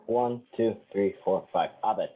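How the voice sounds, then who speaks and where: A man's voice: lowish voice, slow pace, husky. Man on tinny line reading script. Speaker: table-read participant reading a character part. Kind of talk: casual acted script reading.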